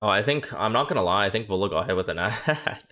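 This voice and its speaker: A man's voice: on the low side, expressive, sharp tone. A young man streaming, chatting casually and joking with his viewers. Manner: profane, joking, quick reactions. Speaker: streamer and coach. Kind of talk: casual livestream chat.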